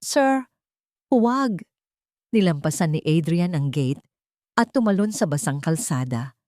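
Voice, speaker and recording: Lower-voiced woman narrating dramatically. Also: lively intonation, normal speed, mellow, dry recording, clean studio sound. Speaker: story narrator. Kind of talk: dramatic scripted narration of a love story.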